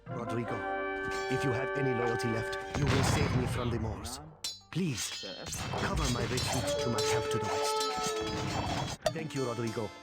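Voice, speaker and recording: A man's voice: mid-range voice, very animated, a little slow, pauses often, over loud music. A man playing a proud, embattled medieval Spanish king in a video game, formal and imperious. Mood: urgent pleading. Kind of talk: dramatic video game voice acting.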